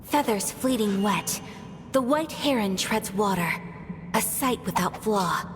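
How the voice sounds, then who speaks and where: A woman's voice: medium pitch, expressive, measured pace, long reverb tail, faint music behind, lightly acted. A woman voicing a graceful young female sword fighter who recites verse in a refined, lilting way. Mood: poetic. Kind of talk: video game voice acting.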